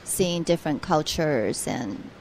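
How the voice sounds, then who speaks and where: A woman's voice: low voice, lively intonation, takes it slowly, darkish tone, a little hiss or hum, low-quality recording. A young adult woman from Taiwan, a guest on a radio interview, speaking earnestly with frequent restarts.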